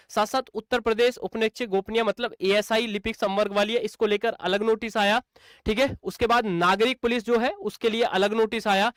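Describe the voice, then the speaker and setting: A man's voice: high voice, fairly bright tone, dry recording. A man teaching in an explainer video, repeatedly asking and answering his own questions and calling viewers friends. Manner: repetitive, asks and answers own rhetorical questions; addresses viewers as friends and brother. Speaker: exam coaching teacher.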